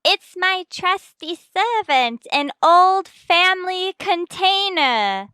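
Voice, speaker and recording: A woman's voice: very high-pitched, lively intonation, pushed and bright, no room sound, studio quality, full character voice. A woman playing a ditzy, man-chasing tavern cashier hamming it up theatrically in an old-time radio comedy. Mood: melodramatic. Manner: theatrical, drawn-out, melodramatic.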